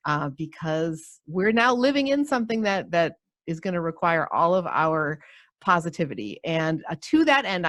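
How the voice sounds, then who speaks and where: Lively, chatty woman podcast host. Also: on the low side, no room sound, clean studio sound. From United States. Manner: chatty, enthusiastic, rambling. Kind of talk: casual podcast interview.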